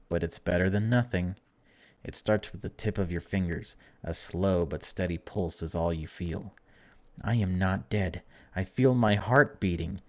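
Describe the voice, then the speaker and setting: A man hosting a gaming video, reading story text aloud dramatically and breaking off for casual, wry asides. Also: low voice.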